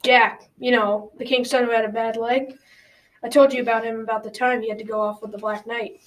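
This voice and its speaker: A woman's voice: a little high, flat delivery, close to an everyday voice. A young girl playing a kindly, folksy old grandfather in a school radio drama. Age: child or teen. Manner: folksy, measured advice-giving. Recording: slightly distant school recording.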